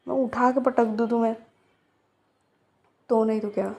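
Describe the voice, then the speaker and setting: A woman's voice: fairly high pitch, fairly even intonation, normal speed, darkish tone. A young woman acting out a romance roleplay to a silent listener, teasing and chatty.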